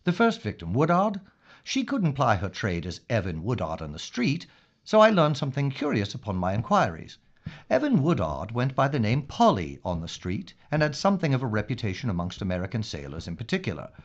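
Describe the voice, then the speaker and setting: Man playing a measured police inspector, animated pitch. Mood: hesitant, uneasy. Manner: formal, measured, clinical. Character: methodical, guarded male police inspector in wartime London. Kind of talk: period audio drama acting. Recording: dull recording with faint noise.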